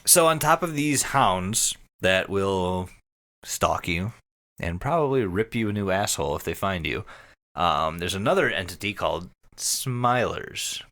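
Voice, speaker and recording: A man co-hosting a podcast, talking casually and describing things in an animated way with casual swearing. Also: sits low, a little slow. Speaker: podcast co-host.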